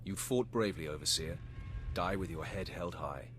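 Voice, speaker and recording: An actor playing a stoic, dutiful Sith acolyte in a video game, with terse, controlled, formal delivery. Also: male voice, deep voice, normal speed, a bit bright, a little hiss or hum. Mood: stoic, resolute. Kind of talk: scripted video game voice acting.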